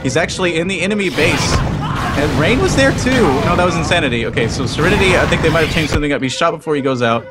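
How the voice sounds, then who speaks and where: A man doing rapid play-by-play commentary on an online shooter match, his voice climbing when the action gets exciting. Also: fairly high pitch. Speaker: esports commentator. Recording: music loud behind the voice.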